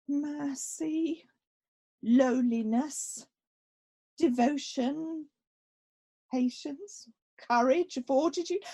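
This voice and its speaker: A woman playing a grave, self-accusing young exiled prince in a Shakespearean radio play, delivering verse deliberately with weighty pauses. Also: sits high, darkish tone, heavily acted.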